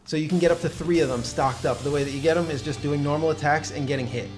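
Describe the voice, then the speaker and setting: A man's voice: fairly high pitch, fairly even intonation, fast talker. A man giving casual, explanatory game commentary as a host guiding another player. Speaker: gameplay host and guide. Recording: game music behind.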